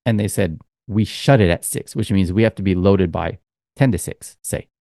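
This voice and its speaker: Podcast co-host, male, lowish voice, dry close sound, loose rambling storyteller. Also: normal speed, dark tone, no echo. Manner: rambling storyteller, many fillers. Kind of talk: casual chat.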